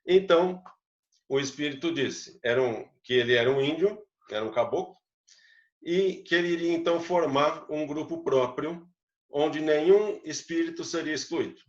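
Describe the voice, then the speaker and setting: A man's voice: a little high, moderate pace, roomy sound, lo-fi sound. A man, a psychologist, giving an explanatory live talk to an online audience and putting rhetorical questions to them. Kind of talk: lecture-style live talk.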